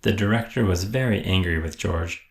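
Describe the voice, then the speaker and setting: A man reading a children's story aloud in an expressive, deliberate way. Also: sits low, vocal fry, reverberant. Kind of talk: children's read-aloud narration.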